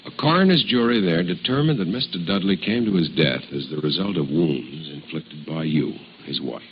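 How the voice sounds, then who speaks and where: A stern deputy district attorney acted by a man with an extremely low voice, formal and forceful as he presses a suspect. It is old-fashioned radio drama on a muffled, slightly noisy vintage recording. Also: moderate pace, natural voice. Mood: stern, insistent. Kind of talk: 1950s radio drama acting.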